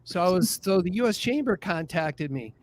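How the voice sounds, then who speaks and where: A man's voice: high-pitched, animated, moderate pace, slight background noise. A man in his fifties, a guest on a podcast, telling his story in an upbeat, self-deprecating way. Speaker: guest, business association president. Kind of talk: casual interview storytelling.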